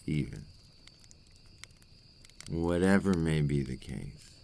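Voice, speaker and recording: A man's voice: a bass voice, creaky, mellow, slight background noise. A man playing a possessive, remorseful vampire captor in an intimate ASMR roleplay monologue, speaking in formal, old-fashioned phrases.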